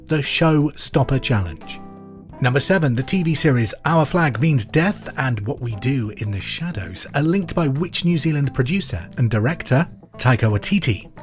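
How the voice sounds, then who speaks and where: A man hosting a pub quiz podcast, reading questions and answers in a clear, deliberate way with casual asides. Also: medium pitch, expressive. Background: music under the voice.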